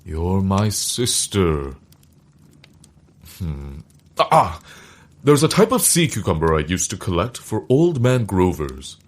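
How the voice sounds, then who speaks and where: A grandiose, eccentric male scientist played by a man in a comedic audio drama. His low, husky voice moves slowly and pompously. The pitch swoops as he declaims, as if every remark were a grave announcement. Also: sing-song, full character voice.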